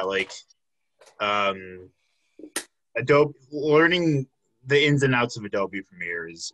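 A man's voice: on the low side, fairly bright tone, dry recording, rough recording. A man in his twenties from the Chicago suburbs, hosting a casual interview with a band and rambling through lots of fillers. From south suburbs of Chicago. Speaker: podcast host.

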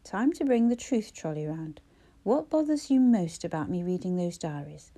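A woman's voice: on the high side, moderate pace, warm tone, pitched above the performer's own voice, natural voice. A woman voicing an emotional, defiant heroine.

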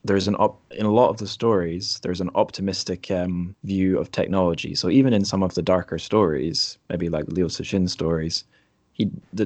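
A man's voice: low voice, dry recording. A man from Scotland with a Scottish accent, hosting a podcast interview in a casual, rambling conversational way. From Dundee, Scotland.

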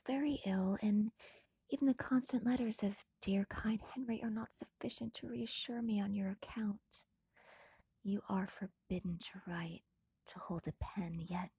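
An American woman voicing a tender, anxious young woman's letter in a classic audiobook, soft, breathy, gentle and earnest. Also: medium pitch, no room sound. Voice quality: soft, breathy, half-whispered. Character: tender, anxious young woman writing to her beloved cousin. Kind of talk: dramatic audiobook reading of a classic novel.

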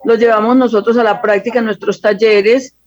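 A woman's voice: a little high, fairly even intonation, brisk, a little mellow, no room sound. Colombian female designer as interview guest, level intonation, somewhat dull lo-fi sound. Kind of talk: spontaneous interview answers.

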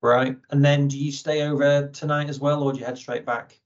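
A man's voice: a little high, level tone, brisk, muffled recording. A man hosting an interview in a conversational way. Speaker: interviewer, host.

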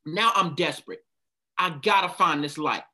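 A man's voice: fairly high pitch, moderate pace, bright, forceful tone. A man giving a passionate motivational talk, stopping often to ask his listeners questions. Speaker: speaker leading a group talk.

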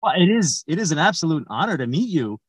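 A man hosting a comic podcast as the polite, halting straight man. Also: fairly high pitch, sing-song, breathy edge, no echo. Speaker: podcast host. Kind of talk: comic interview in an audio play.

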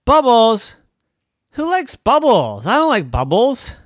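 Squeaky stubborn little girl for a bedtime story, a man puts her on, high and whiny, with a lively, swooping voice. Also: takes it slowly, dry recording, clean studio sound, exaggerated voice. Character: stubborn little girl, fussy then adventurous.

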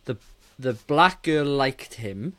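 A man's voice: medium pitch, expressive, slow pace, a bit bright. A man in his forties co-hosting a film review podcast in a casual, conversational style. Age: 40s. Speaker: podcast co-host.

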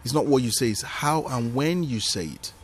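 A man with a Nigerian accent and Yoruba as his mother tongue, a comedian telling stories in an animated, emphatic way during a podcast interview. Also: mid-range voice, normal speed, a little hiss or hum. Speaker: guest comedian.

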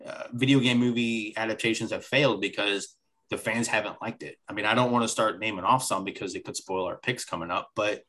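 A podcast co-host, a man with a somewhat low voice, chatting casually. He rambles through his thoughts and drops the occasional deadpan joke. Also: brisk, poor audio. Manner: rambling, with dry jokes. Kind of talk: casual chat.

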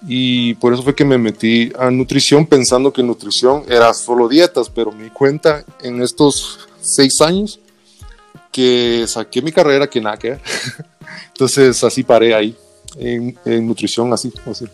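A Guatemalan man talks as an interview guest in a casual, colloquial storytelling style, dropping in little tag words. His voice is mid-pitched, with faint music playing behind it. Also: fairly even intonation, moderate pace. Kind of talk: casual chat, personal anecdotes.

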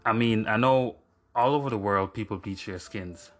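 A man's voice: lowish voice, normal speed. A young man from Jamaica hosting his own podcast, talking casually and rambling with fillers and self-corrections. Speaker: podcast host. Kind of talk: casual solo podcast monologue.

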